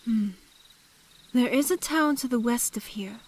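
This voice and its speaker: A mysterious woman of the woods played by a voice actress speaks in a fairly high voice with formal, measured, old-fashioned phrasing. She is calm and instructive, in audio drama style, with faint noise and crickets behind her. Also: natural voice. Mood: calm instruction.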